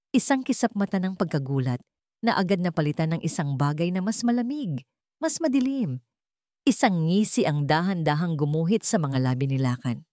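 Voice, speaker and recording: A woman's voice: low-pitched, warm tone, no echo, studio quality. A woman with a Filipino accent reading a scripted dramatic story as its narrator.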